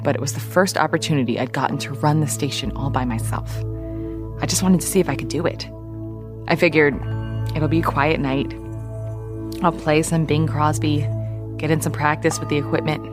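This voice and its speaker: A woman's lowish voice narrates as a wry radio journalist, played by an actress. She looks back nostalgically in scripted storytelling, with music clearly behind her. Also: close to an everyday voice. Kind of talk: scripted narration in podcast audio drama.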